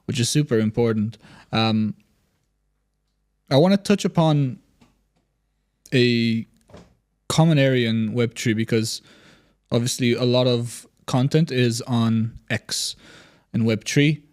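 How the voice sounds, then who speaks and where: A man's voice: medium pitch, darkish tone. Man asking long questions on a podcast. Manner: measured, with fillers, builds long questions.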